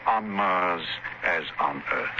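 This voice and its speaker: A man's voice: deep voice, takes it slowly. A man in a 1950s radio drama playing an eccentric, fervent desert preacher who speaks in a declamatory way. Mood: solemn, fervent. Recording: noisy, muffled 1950s radio recording.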